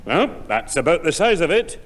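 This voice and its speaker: A man's voice: on the high side, very animated, normal speed. An actor playing a grumpy, incredulous old grandfather who grumbles and exclaims in a 1960s radio sitcom. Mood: scornful. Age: older. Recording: echoey old radio recording.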